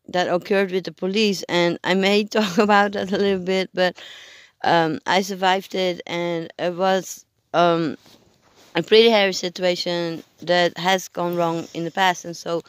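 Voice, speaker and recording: An adult vlogger speaking hoarsely because they are sick, talking in a halting, hesitant way and searching for words during a casual video check-in. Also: mid-range voice, no echo.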